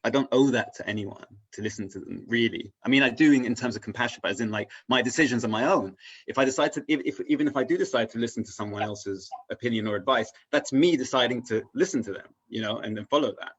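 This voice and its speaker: A man talking casually and reflectively as a guest, haltingly searching for his words and often checking in with "right?". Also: mid-range voice, breathy edge, lo-fi sound. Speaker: interview guest on spirituality.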